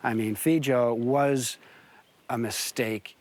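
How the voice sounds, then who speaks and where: A man's voice: mid-range voice, fairly even intonation, slow, a little mellow. Older American man, easygoing farmer. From Detroit, USA. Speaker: farmer and winemaker. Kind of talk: casual interview chat.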